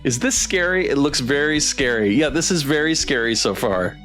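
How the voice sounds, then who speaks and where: A man's voice: medium pitch, lively intonation, normal speed, fairly bright tone, faint music behind. A man doing a theatrical read-aloud playthrough of a visual novel with commentary. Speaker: streamer playing and voicing a visual novel.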